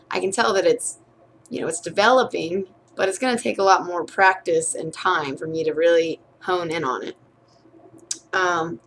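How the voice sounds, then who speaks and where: A woman's voice: lowish voice, a bit bright, slight background noise. A young woman, a college student, talking candidly in a casual video diary about her writing, chatty with frequent fillers and restarts. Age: young adult.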